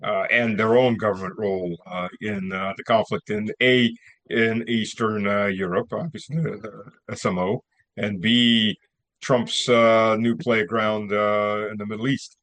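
A man's voice: fairly low pitch, steady tone, unhurried, fairly bright tone. A man hosting a casual interview show over a video call, joking and rambling with lots of fillers. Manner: jokey, rambling with many fillers. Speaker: show host.

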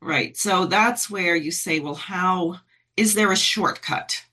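Bright, husky-voiced earnest woman. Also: fairly low pitch, slow. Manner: earnest, searching, thinks aloud with fillers. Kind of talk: conversational political talk.

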